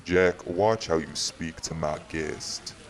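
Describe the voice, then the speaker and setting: Man playing a very deep, gravelly Southern vampire. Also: slow, full character voice. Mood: stern warning. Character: weary, courtly male vampire host, protective and firm. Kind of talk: audio roleplay drama acting.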